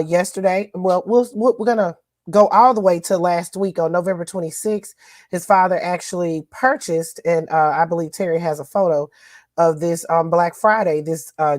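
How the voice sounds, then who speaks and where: A woman's voice: on the low side, a little mellow, no echo, clean studio sound. A woman co-hosting a podcast, recapping news in a chatty, folksy way with lots of fillers. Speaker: co-host.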